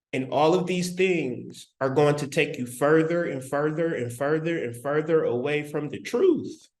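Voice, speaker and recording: A man giving a spiritual lecture, speaking deliberately with long pauses and repeating key phrases for emphasis. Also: on the high side. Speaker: spiritual teacher.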